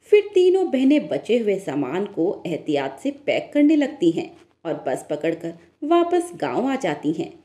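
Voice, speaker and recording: A woman's voice: mid-range voice, warm tone. A woman narrator telling a scripted moral story in a storytelling style.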